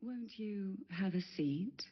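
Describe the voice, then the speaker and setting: A woman voicing a composed, courteous noble matriarch in a video game, speaking formally and with measured poise. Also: mid-range voice, expressive, highs cut off. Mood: gracious, poised. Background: loud game music.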